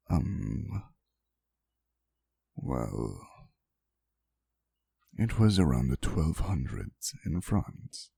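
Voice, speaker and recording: A man playing a centuries-old romantic vampire boyfriend in an intimate ASMR roleplay monologue, speaking softly and hesitantly, teasing and wistful with faint menace. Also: low-pitched, creaky, slightly rough, no room sound, studio quality, full character voice. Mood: wistful, tender. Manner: soft, slow, hesitant storytelling with teasing asides. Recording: soft music behind the voice. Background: quiet instrumental music.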